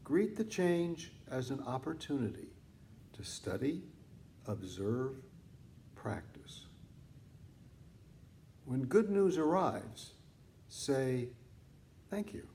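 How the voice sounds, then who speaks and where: A man reading scripted meditation teaching like an audiobook author, in deliberate, measured phrases with pauses. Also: medium pitch, sing-song, gravelly, dark tone, a little hiss or hum. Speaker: author reading own book on mindfulness.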